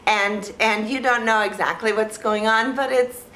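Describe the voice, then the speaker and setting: A woman vlog host talking casually and ramblingly in a naive, childlike way, halting and repeating phrases as she searches for words. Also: fairly high pitch, steady tone, pushed and bright, reverberant, slight background noise, low-quality recording. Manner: childlike, naive delivery in simple sentences. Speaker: vlog host reviewing films. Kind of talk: casual rambling talk to camera.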